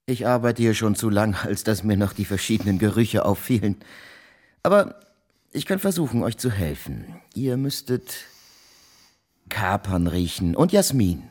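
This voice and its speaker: An actor in a German radio play playing a dutiful young merchant's clerk, evasive and discreet, with polite formal speech. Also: male voice, on the low side, lively intonation, unhurried, a little mellow, slightly rough. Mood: helpful, hurried.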